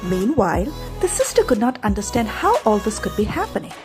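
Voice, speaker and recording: A woman narrating a scripted folktale as a storyteller, in an expressive, dramatic manner. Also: medium pitch, brisk. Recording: music behind the narration.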